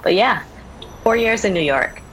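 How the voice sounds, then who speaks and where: A woman's voice: medium pitch, animated, measured pace, fairly bright tone, slight background noise, poor audio. A young woman from Barbados, a visual artist and podcast guest, talking casually and thoughtfully with an easy laugh. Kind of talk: casual conversation. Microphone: remote recording from home setup.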